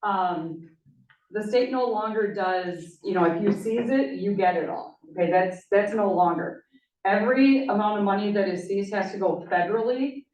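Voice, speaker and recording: A woman in law enforcement speaking at a public meeting, brisk and direct, often checking in with the audience. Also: medium pitch, a little mellow. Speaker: law enforcement official presenting. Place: large town meeting hall. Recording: echoey meeting hall, far mic, dull.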